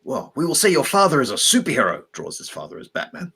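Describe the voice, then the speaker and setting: A man's voice: medium pitch, huge pitch swings, quick pace, vocal fry, bright, forceful tone, husky. A man with an English accent, originally from Belgium with French as his first language, co-hosting a casual live stream in a rambling, dry, self-deprecating way. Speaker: co-host.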